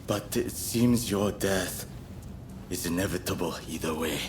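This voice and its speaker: A man's voice: sits low, measured pace, a little hiss or hum. A man voicing a cold, menacing swordsman in a dramatic video game cutscene. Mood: cold menace.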